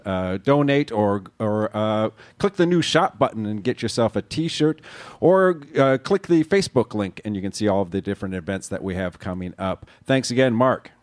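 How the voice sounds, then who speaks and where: A man's voice: on the low side, no room sound. An American man announcing on live radio, giving informal plugs and reminders to listeners.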